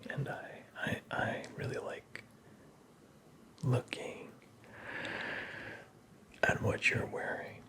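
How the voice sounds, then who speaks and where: A man playing a flirtatious, flustered prince in an ASMR roleplay, speaking in a soft, breathy whisper with halting, teasing phrasing. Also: mid-range voice. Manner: halting, teasing, awkwardly self-correcting.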